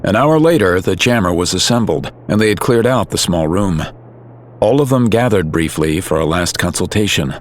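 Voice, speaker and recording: Very deep male narrator, lively delivery. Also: moderate pace, a little hiss or hum. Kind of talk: scripted dramatic story narration.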